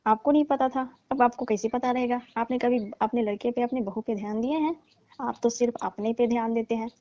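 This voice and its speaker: A woman's voice: a little high, fairly even intonation, fairly quick, mellow, lightly acted. A woman playing a coy, complaining young daughter-in-law in a staged comic phone call. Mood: reproachful. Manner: coy, hinting, complaining, teasing. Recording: muffled, lo-fi, dry call recording.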